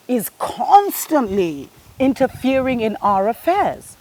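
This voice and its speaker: A woman's voice: medium pitch, a little mellow. A woman, hosting a spiritual show and giving an earnest, preachy monologue that speaks to her listeners as family. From Kenya.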